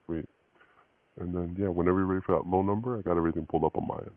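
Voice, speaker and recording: On a dull phone recording, a man with a deep voice talks quickly. He sounds like an informal, accommodating sales agent on a call. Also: steady tone, dry recording. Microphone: phone line. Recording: thin, band-limited phone call recording.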